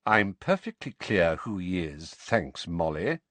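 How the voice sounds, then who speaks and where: A man reading an audiobook and voicing a guarded, wry grown man who talks low and stays calm. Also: normal speed, breathy edge, no echo, exaggerated voice. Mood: cool. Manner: low, muttering, cool and calm. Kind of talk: audiobook character voice.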